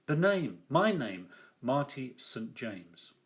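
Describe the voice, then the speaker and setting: An older man reading his own first-person story aloud like an author at a reading, theatrical and wry, with deliberate emphasis. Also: mid-range voice, very animated.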